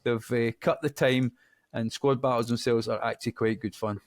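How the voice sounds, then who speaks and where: A man with a mid-range voice chats on a gaming podcast. He is good-humoured and talks in a measured way. The sound is dry and close to the mic. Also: mid-range voice, fairly even intonation, dry recording. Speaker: podcast co-host. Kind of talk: casual gaming podcast chat.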